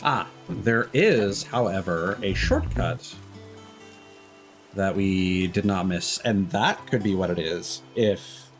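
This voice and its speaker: A man's voice: sits low, takes it slowly. A man giving casual live gameplay commentary as a streamer, thinking aloud in loose, candid asides. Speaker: game streamer. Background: game music.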